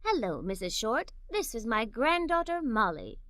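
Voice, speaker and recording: A woman's voice: a little high, sing-song, moderate pace, a bit bright, no room sound, clean studio sound, pitched below the performer's own voice. A woman voicing a kind, cheerful grandmother in a children's storybook, encouraging and joyful.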